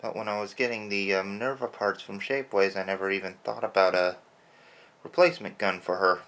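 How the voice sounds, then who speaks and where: A man with a lowish voice talks softly while painting a toy at his desk. He wanders from thought to thought, with plenty of fillers. A slight roughness sits in his throat from allergies. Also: steady tone, fairly quick. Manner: soft-spoken, rambling with fillers. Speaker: toy customizer. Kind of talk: casual hobby narration while painting.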